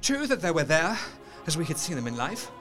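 A man playing an earnest gentleman doctor, narrating a gothic horror radio play in a dramatic, literary manner. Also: fairly high pitch, very animated, fairly quick, faint music behind. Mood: awed, moved.

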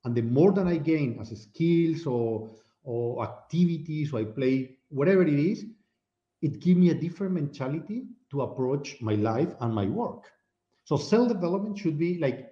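Panel guest, male, mellow, thoughtful delivery; dull, echoey call audio. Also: mid-range voice. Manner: thoughtful, repeats words while searching. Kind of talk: casual discussion.

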